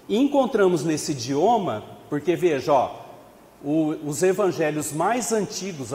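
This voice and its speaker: A man with a Brazilian Portuguese accent leads a religious study session, explaining scripture in a conversational way. His voice sits somewhat high for a man. He asks rhetorical questions and checks in with his listeners, and his words ring with the long reverb of an echoey hall. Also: a little mellow, a little hiss or hum. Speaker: study group leader at a spiritist center.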